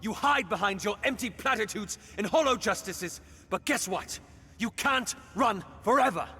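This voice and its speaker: A man's voice: sits high, lively intonation, normal speed, sharp tone, slightly rough, long reverb tail, full character voice. An actor playing a passionate, blunt male companion in a dramatic video game, speaking in an emphatic, confronting way. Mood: confronting, heated.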